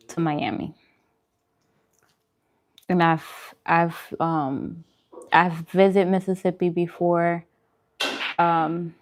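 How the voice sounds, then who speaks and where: A woman chatting casually and colloquially with her viewers, as on a livestream during a relaxed meal. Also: lowish voice. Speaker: vlogger hosting a mukbang livestream. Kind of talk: casual livestream chat with viewers while eating.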